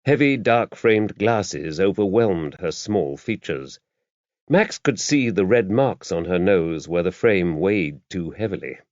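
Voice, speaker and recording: A man's voice: deep voice, expressive, takes it slowly, a little mellow, no echo, muffled recording. A man reading a mystery novel aloud as scripted audiobook narration.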